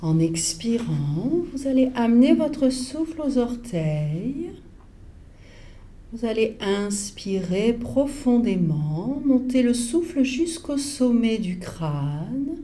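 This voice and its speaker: Slow, mellow woman guiding relaxation. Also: on the high side. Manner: calm, measured, pausing between short instructions. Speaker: yoga teacher. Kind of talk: guided relaxation instruction.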